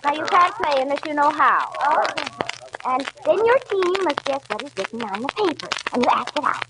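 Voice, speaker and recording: A scatterbrained, sociable older mother in a 1940s radio play. Her voice is high and flutters up and down. The old recording is very noisy. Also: big pitch swings, normal speed. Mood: flustered, instructing. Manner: flustered, gushing, scatterbrained.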